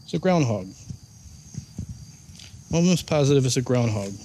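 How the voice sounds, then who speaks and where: A man's voice: mid-range voice, lively intonation, moderate pace. A man vlogging casually while walking through the woods, thinking aloud and unsure about what he finds. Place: outdoors in the woods. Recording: noisy outdoor recording.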